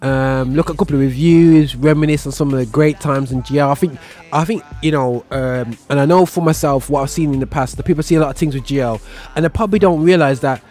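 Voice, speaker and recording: A man's voice: a little high, fast talker, few pauses, a little mellow. Laid-back man hosting a chat show. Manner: laid-back, laughs often, British slang. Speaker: show host. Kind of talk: casual podcast chat.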